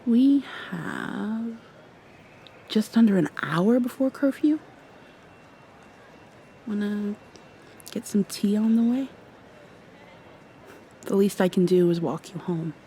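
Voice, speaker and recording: A woman playing a shy, flustered young vampire girl in love in an intimate ASMR roleplay, speaking haltingly and often dropping toward a whisper. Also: medium pitch, slight background noise. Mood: flustered, affectionate. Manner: soft, halting, breathy whispers and nervous laughs.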